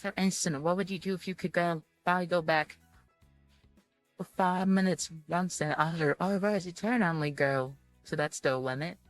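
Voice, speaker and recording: A woman giving let's-play commentary and reading game text aloud in a halting, rambling way. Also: lowish voice. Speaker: streamer playing a visual novel. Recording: music playing behind the voice. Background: background music.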